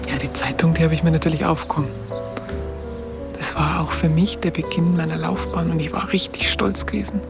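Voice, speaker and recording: Bavarian woman quietly recalling her past. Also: deep voice, fairly even intonation, brisk, gravelly, music in the background. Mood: reflective, confiding. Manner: hesitant, colloquial, reflective storytelling. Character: Bavarian woman photographer recalling her wartime youth, proud, confiding. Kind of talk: intimate first-person radio drama recollection.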